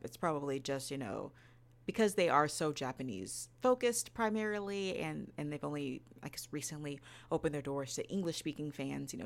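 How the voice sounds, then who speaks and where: A woman's voice: deep voice, vocal fry. A woman co-hosting a podcast, sharing her review in casual talk. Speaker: podcast co-host.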